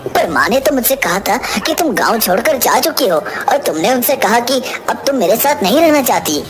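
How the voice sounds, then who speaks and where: A woman voicing a grown son in an animated village story, skeptical and indignant. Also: a little high, normal speed.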